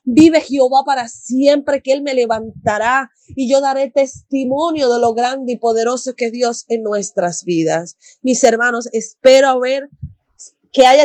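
A woman's voice: high-pitched, darkish tone, no echo. A woman giving a devotional personal testimony in an earnest, exhorting manner. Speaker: church member giving a testimony.

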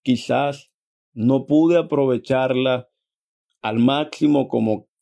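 A man from Venezuela with a Venezuelan accent, giving a reflective personal faith testimony in measured, halting phrases. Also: medium pitch, vocal fry, dark tone, lo-fi sound. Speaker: church member giving a testimony.

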